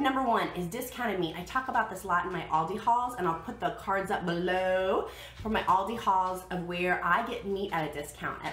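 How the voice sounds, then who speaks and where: A woman's voice: fairly low pitch, fairly bright tone. An American woman in her thirties chatting casually and emphatically to camera as a vlog host, sharing practical tips and repeating points for emphasis. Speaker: vlog host giving budget diet tips. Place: living room at home, indoors. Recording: echoey living room with background noise.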